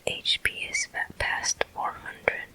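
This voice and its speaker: An ASMR gameplay host speaks entirely in a breathy whisper. The delivery is very slow, gentle and deliberate. The sound is crisp and very bright, with hissing air in place of a full voice.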